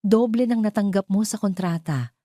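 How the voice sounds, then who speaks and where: A woman's voice: medium pitch, moderate pace, warm tone, dry recording, studio quality, voice pushed up, natural voice. A Filipino woman voicing a serious, commanding billionaire boss in an audio drama, direct and weighty in manner. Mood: firm, businesslike.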